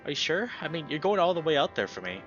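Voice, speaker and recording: A man's voice: on the high side, sing-song, fast, a bit bright, highs cut off, soft background music, voice pushed up. A man voicing a young female animal character in a visual novel, teasing and caring, in a conversational way. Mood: grateful.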